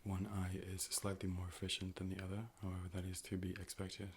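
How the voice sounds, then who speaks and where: A man playing a calm, polite robotic AI optician in an ASMR role play, speaking in a measured, formal, deadpan way. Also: low-pitched, a little hiss or hum, lightly acted. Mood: calm, clinical. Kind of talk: ASMR medical role play, personal attention.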